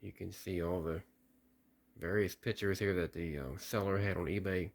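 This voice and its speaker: A man's voice: low voice, fairly even intonation, moderate pace, creaky. A man talking casually as he unboxes and tests an old radio, thinking aloud with small restarts. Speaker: radio hobbyist testing gear.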